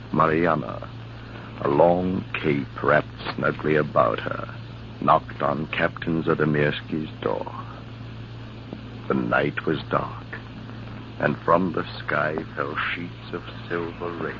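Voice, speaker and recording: A very deep, gravelly, ominous storyteller. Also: male voice, a little hiss or hum, exaggerated voice. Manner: solemn, dramatic, measured. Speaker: narrator. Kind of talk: 1940s radio narration. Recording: muffled, humming old radio broadcast.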